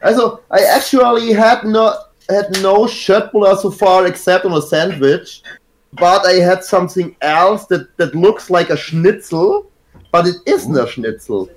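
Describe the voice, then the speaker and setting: A man's voice: high voice, measured pace, poor audio. A man co-hosting a podcast, rambling through stories with frequent laughs, talking from a hotel room. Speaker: podcast co-host. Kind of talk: casual chat.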